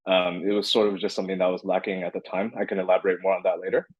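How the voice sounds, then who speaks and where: A man's voice: deep voice, flat delivery, rapid-fire, slightly rough, no room sound, highs cut off. A man in his thirties, a podcast guest, answering casually with many restarts and fillers. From born in California, raised in Beijing. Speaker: podcast guest, crypto entrepreneur.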